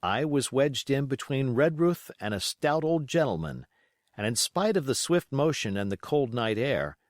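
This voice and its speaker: A man reading a classic adventure novel aloud as an audiobook, in an expressive, measured storytelling delivery. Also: medium pitch, no room sound, studio quality. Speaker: audiobook reader.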